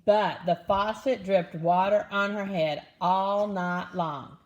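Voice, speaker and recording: A woman reading a children's picture book aloud in an expressive, dramatic manner. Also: mid-range voice, takes it slowly. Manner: animated, expressive, with dramatic gasps and exclamations. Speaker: storybook reader.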